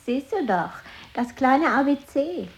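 A woman's voice: high voice, expressive, a little slow. An actress playing a gleefully malicious woman in an avant-garde radio play, turning phrases over in incantatory wordplay. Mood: playful. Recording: roomy reverberant sound, faint noise.

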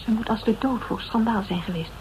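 A woman's voice: on the high side, a little fast, lightly acted. An actress playing an insistent woman in a radio drama, speaking in an earnest, urging way. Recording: dull, faintly noisy radio recording.

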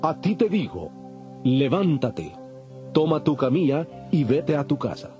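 A man playing a calm, authoritative holy teacher, solemn and measured, in a dramatized audio Bible. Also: on the high side. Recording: dull lo-fi, faint music behind.

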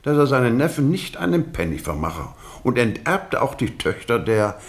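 A man acting in a German radio drama as a courteous, probing investigator, speaking in a measured, ironically polite and rhetorical way. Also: mid-range voice, very animated. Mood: suave, insinuating.

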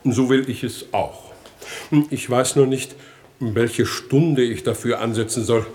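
An actor in a 1960s German radio comedy playing a vain, gullible rich bourgeois who talks pompously and with bluster. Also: male voice, medium pitch, fairly even intonation, slow, darkish tone, natural voice. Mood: naive, self-satisfied. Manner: pompous, self-satisfied, blustering.

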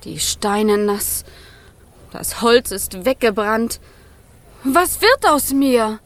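A young female fire spirit in a children's radio drama, restless and suffering. The voice is high and takes its time, with short, plaintive, theatrical phrases. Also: animated, drawn-out words. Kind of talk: German children's radio play acting.

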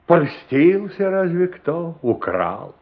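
An actor playing a gruff, plain-spoken household cook in a radio play, offhand, dismissive and drawling. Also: male voice, a little high, very animated, slow. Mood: dismissive, evasive. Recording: muffled, narrow-band radio play recording.

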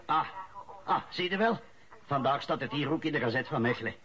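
A man's voice: medium pitch, animated, fairly quick, fairly bright tone, lightly acted. An actor with a Flemish accent playing a precise, slightly impatient local man reading the newspaper aloud in a radio drama. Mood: eager, impatient. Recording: dull, lo-fi radio recording.

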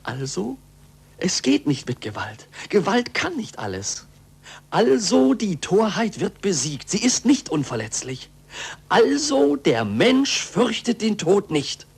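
An actor playing a scientist's fervent disciple in a declamatory, impassioned 1940s German radio play style. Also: male voice, sits high, huge pitch swings, slow pace, vocal fry, slightly rough, heavily acted. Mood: fervent, exultant. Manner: declamatory, impassioned, dropping to hushed tones.

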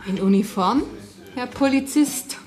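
A high-pitched, brash young Bavarian tavern woman, played by an actress. She is drunk and bitter, and taunts in coarse dialect in radio-play style. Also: a little slow, darkish tone, close to an everyday voice. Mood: brash, teasing. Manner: brash, mocking, coarse-mouthed. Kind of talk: 1970s German radio play acting.

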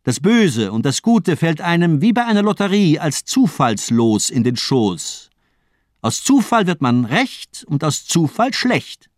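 An actor playing a cold, cynical doctor villain in a radio crime drama, speaking eloquently with mocking elegance. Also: male voice, on the high side, very animated, no room sound, studio quality. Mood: scornful lecturing. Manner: eloquent, playful, mocking, deliberate monologuing.

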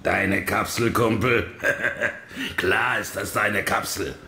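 Sci-fi radio villain: taunting hired thug, unhurried and hard-edged, fake-friendly menace, echoing room. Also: male voice, on the low side, fairly even intonation, vocal fry, pushed and bright, exaggerated voice. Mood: smug, mocking. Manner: mock-chummy, drawling menace. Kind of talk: German radio play acting.

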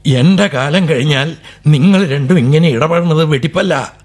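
A man's voice: fairly high pitch, normal speed, a little mellow, slightly rough. An actor playing a proud, stern elderly father in a radio drama, harsh and commanding, breaking into sobbing rage. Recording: old AM radio broadcast.

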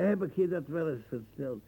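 An actor playing a brutal, boastful old colonial veteran in a radio drama, rambling coarsely and searching for words. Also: male voice, a little high, creaky, dark tone, breathy edge, exaggerated voice. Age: older. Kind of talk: radio drama acting, monologue reminiscence.

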